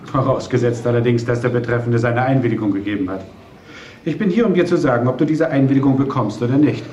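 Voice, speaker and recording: A man's voice: mid-range voice, fast, darkish tone, natural voice. An actor in a German radio play, playing a firm, formal lawyer who guards his client. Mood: firm, official. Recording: dull, echoey old radio recording.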